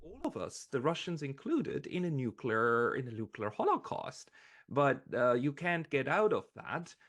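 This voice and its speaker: A man's voice: medium pitch, big pitch swings, a little slow, fairly bright tone. A man talking spontaneously as the interviewer in a political conversation.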